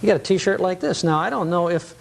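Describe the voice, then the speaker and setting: Higher-voiced male nostalgia show host. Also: fairly quick, vocal fry. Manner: chatty, reminiscing, often interjecting. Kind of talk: casual television interview.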